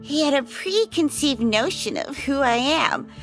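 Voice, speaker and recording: A woman voicing a playful, flirtatious woman, a vampire's lover, in an audio drama, teasing and unhurried as she tells her stories. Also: sits high, lively intonation, a bit bright, heavily acted. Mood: teasing, confiding. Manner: teasing, unhurried storytelling with frequent laughter. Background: background music.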